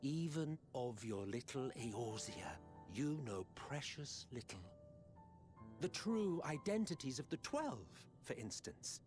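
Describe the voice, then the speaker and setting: An actor playing a proud, defeated villain in a video game, grandiloquent and disdainful. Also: male voice, medium pitch, big pitch swings, slow pace. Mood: wry, lecturing. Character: proud, theatrical, defeated male villain, imperious and wry. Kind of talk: dramatic video game acting. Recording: orchestral music under the voice.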